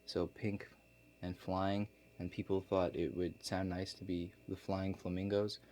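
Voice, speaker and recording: A man playing a laid-back construction contractor in an ASMR role play, explaining things to a client in a halting, matter-of-fact way. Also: deep voice, level tone, mellow, slight background noise. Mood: casual, unhurried.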